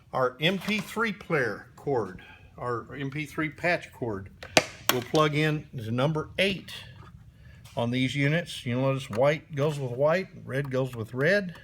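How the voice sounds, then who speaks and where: Slow-talking man explaining sound gear. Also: medium pitch, a bit bright, slight background noise. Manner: unhurried, plain instructions with frequent pauses and fillers. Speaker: ministry volunteer demonstrating sound gear. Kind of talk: slow hands-on equipment demonstration. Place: indoor room with the sound system.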